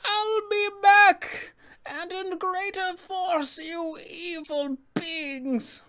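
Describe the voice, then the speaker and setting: A man voicing an ancient, fanatical witch from a fantasy roleplaying game, speaking in slow, theatrical, drawn-out phrases. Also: very high voice, steady tone, sharp tone, pitched above the performer's own voice, heavily acted. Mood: vengeful. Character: ancient wicked witch, 400 years old, fanatically devoted, menacing.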